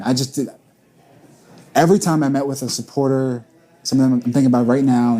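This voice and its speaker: A man's voice: medium pitch, warm tone. A man in his late twenties, a church planter and pastor, talking earnestly in a casual panel chat and repeating words as he thinks. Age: 20s.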